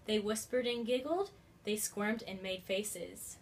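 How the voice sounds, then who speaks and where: A woman's voice: medium pitch, a little slow. A woman teacher reading a storybook aloud expressively and putting questions to young children. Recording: faint background noise.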